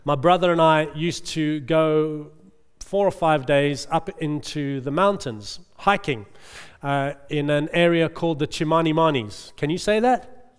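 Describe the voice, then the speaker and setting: A man's voice: a little high, a little slow, smooth. A middle-aged male preacher giving a conversational sermon, chatty and often checking in with the congregation. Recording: long reverb of a large hall.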